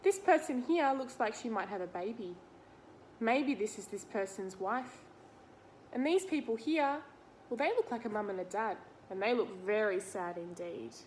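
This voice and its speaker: A woman's voice: mid-range voice, animated, normal speed, a little mellow, slight background noise. An Australian woman reading a picture book aloud to young children, expressively, talking to them directly with simple explanations and questions.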